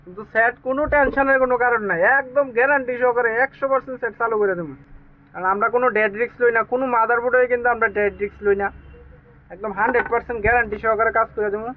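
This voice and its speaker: Male, Tripura Bengali accent, extremely high pitch, rapid delivery, informal repair technician demo, emphatic and repetitive. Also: fairly even intonation, phone-quality sound.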